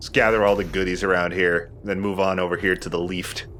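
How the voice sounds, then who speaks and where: A man's voice: sits low, little pitch movement, fairly quick, fairly bright tone, a little hiss or hum. A man hosting a let's play, joking and swearing in casual banter as he comments on his game. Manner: joking, profane.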